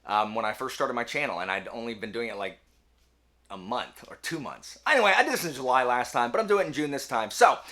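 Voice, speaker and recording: A man hosts a casual book vlog in a bright, forceful voice of middling pitch. His intonation swings widely from phrase to phrase. He chats in an animated, slangy way with a self-deprecating streak. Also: quick pace. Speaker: book reviewer hosting a video channel. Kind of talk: casual chatty monologue to camera.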